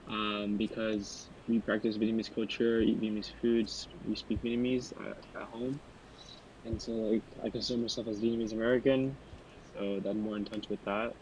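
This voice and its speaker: A young man with a lowish, flat voice, chatting loosely on a student podcast. He rambles, circles back and fills gaps with likes and ums, and keeps an even, unhurried tone. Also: a little hiss or hum, poor audio. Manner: rambling, many fillers and restarts, loses his thread. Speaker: podcast panelist. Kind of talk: casual podcast discussion.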